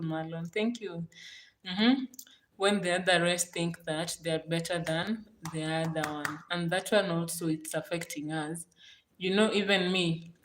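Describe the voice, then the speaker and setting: A woman from Kenya with a Kenyan accent, chatting casually on a livestream, warm and gracious toward her viewers and repeating her thanks again and again. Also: lowish voice, reverberant, slight background noise, rough recording. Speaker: livestream host.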